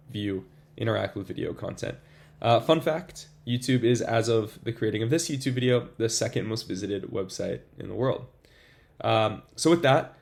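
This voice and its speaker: A man's voice: medium pitch, gravelly, a little mellow. Upbeat man with a slight cold. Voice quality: slightly congested, voice a little off. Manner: upbeat, casual, addresses viewers as "you guys". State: has a cold. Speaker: tutorial host. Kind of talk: explaining system design at a whiteboard.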